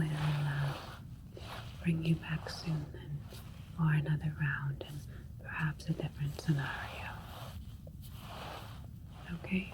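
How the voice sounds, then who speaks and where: Caring, clinical alien doctor for sci-fi ASMR roleplay female performer: breathy whisper, level low-key pitch, gentle bedside manner. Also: rough recording. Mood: gentle, polite. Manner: gentle, reassuring, unhurried, clinical questioning.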